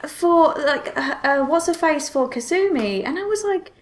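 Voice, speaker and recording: Female podcast host, high voice, casual and halting, emphatic repeats. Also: expressive. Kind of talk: casual chat.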